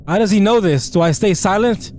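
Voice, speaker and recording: A man commentating on a playthrough as he goes, reading game text aloud and reacting in a slangy, incredulous, expressive way. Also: high voice, a little fast, a little hiss or hum. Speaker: gaming video creator.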